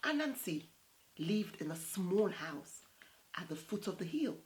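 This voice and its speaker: A woman in her thirties reading a picture book aloud as storytime host, in a theatrical, unhurried way. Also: mid-range voice, very animated, slight background noise, poor audio. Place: small indoor set with plain backdrop.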